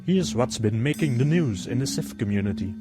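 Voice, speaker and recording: A man co-hosts a casual podcast, making dry quips. His voice sits in the middle range. Loud music plays behind him. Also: medium pitch, lively intonation, a little fast. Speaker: podcast co-host.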